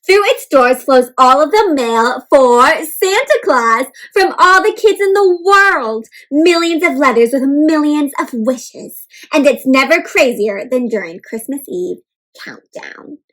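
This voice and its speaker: A woman's voice: high-pitched, expressive, measured pace, fairly bright tone, low-quality recording. A woman hosting an interactive storybook read-aloud for kids, asking questions and explaining words simply. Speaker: children's read-aloud host, teacher.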